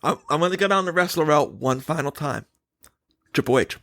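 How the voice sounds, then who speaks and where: A man's voice: on the high side, animated, fairly quick, fairly bright tone, dry recording, studio quality. A man hosting a podcast, giving his opinions in casual, emphatic chat and putting questions to his co-host.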